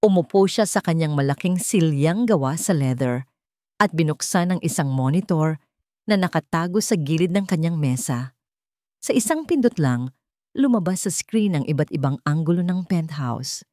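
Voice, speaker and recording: A Filipino woman narrates a dramatic audiobook in a low register. Her phrasing is measured and deliberate, and the recording is dry and close, with very little room sound. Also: animated, dark tone, dry recording. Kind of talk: scripted dramatic story narration.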